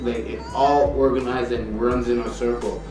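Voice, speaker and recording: A man's voice: medium pitch, little pitch movement, moderate pace. A man, co-hosting a podcast, arguing insistently in a heated casual debate, swearing and repeating himself for emphasis. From Canada. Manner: insistent, argumentative, swears often, repeats "you know what I mean". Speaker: podcast co-host. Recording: echoey room, mic far off, faint music.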